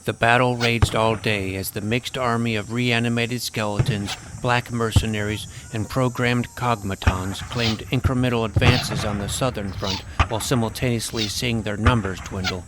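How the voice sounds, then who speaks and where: A man's voice: fairly low pitch, steady tone, normal speed, hardly any pauses, noisy background, lightly acted. A man playing a plucky boy hero, telling his own fantasy adventure in scripted first-person narration and dialogue. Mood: earnest storytelling. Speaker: narrator and lead character.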